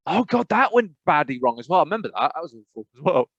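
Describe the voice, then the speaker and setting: A man with an English accent co-hosting a podcast, rambling and animated, with emphatic repetitions. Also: fairly high pitch, quick pace, vocal fry, husky, no room sound, muffled recording. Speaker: podcast co-host. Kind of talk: casual chat.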